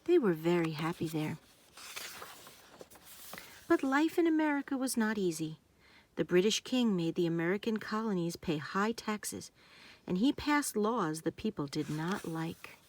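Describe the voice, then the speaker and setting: A woman narrating a children's storybook, reading aloud in simple, deliberate phrases meant for young listeners. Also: lowish voice, expressive, darkish tone.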